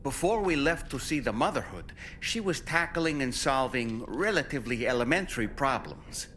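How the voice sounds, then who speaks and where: A man's voice: mid-range voice, fairly bright tone, a little hiss or hum. An actor playing a courteous, reflective ship's crewman in a video game, speaking formally and articulately in a musing way. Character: thoughtful, courteous crewman aboard a ship, gently wondering. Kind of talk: video game character monologue.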